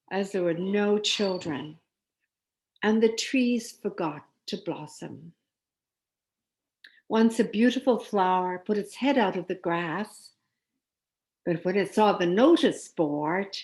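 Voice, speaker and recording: A woman reads a children's story aloud at an unhurried pace. She is an expressive storyteller who leans on key words for dramatic emphasis, in a mid-pitched voice.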